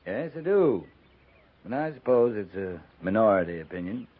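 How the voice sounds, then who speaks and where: An actor playing a dry, unhurried, folksy cavalry captain in a 1950s radio western. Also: male voice, lowish voice, very animated. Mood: deadpan, respectful. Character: laconic, deadpan cavalry captain of the frontier West. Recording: muffled 1950s radio recording.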